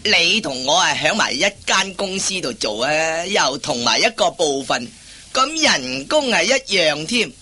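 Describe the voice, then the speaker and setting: Chatty, teasing Cantonese office worker. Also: male voice, fairly high pitch, very animated, unhurried, bright, forceful tone, faint music behind, exaggerated voice. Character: chatty married male office worker, envious of bachelor colleague. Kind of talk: Cantonese radio farce acting.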